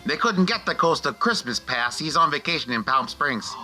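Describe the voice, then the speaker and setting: Cheeky Hanukkah spirit, very sharp forceful voice, lively swooping pitch, brash teasing delivery, comic radio play. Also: male voice, moderate pace, soft background music. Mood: brash, wisecracking.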